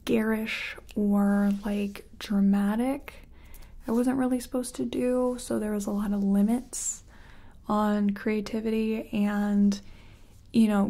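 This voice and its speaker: A woman playing a nervous, gentle makeup artist in a soft-spoken ASMR roleplay, breathy and hesitant, often murmuring to herself. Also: mid-range voice. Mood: reflective, hesitant. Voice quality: breathy, whispery.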